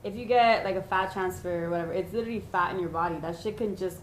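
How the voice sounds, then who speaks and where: A woman's voice: fairly low pitch, quick pace. A woman podcast guest giving blunt, emphatic opinions in casual chat, swearing freely. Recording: echoey room, faint noise.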